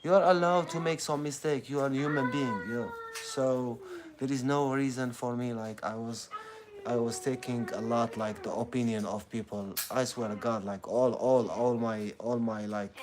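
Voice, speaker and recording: Halting Algerian man, crowd chatter behind. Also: mid-range voice, steady tone. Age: 30s. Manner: halting, full of fillers and restarts. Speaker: content creator. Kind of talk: casual motivational monologue.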